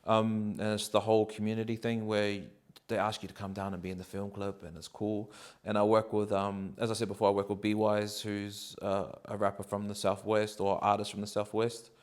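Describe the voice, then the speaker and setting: A man, answering interview questions in a relaxed, rambling way with many ums and likes. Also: lowish voice, brisk, smooth. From New Zealand. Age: 30s.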